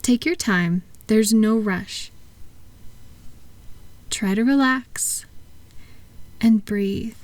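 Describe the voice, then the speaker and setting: A woman playing a kind, patient therapist in a relaxation roleplay audio, speaking softly and gently in an unhurried, reassuring way. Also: a little high, no echo. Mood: calm, reassuring. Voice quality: soft-spoken, gentle. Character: kind, patient female thought-calming therapist soothing a client. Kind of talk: soft-spoken roleplay relaxation audio for sleep.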